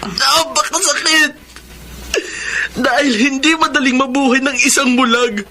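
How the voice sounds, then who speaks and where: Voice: sits high, unhurried, pushed and bright, slight background noise, exaggerated voice. An actor playing a hurt, bitter blind young son in a Tagalog radio drama, with accusing, tearful delivery over music. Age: child. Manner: accusing, tearful, impassioned outbursts.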